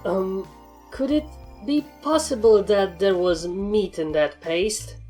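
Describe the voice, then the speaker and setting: A woman's voice: medium pitch, slow, mellow, natural voice. A woman voicing an eager, earnest and inquisitive female pony scholar in a fan fiction reading. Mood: queasy curiosity. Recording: music behind the voice.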